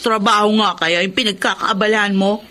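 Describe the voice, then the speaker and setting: A woman's voice: mid-range voice, fairly even intonation, brisk, pushed and bright, lightly acted. A woman with a Filipino accent playing a suspicious, nagging partner in a radio drama. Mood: suspicious, reproachful.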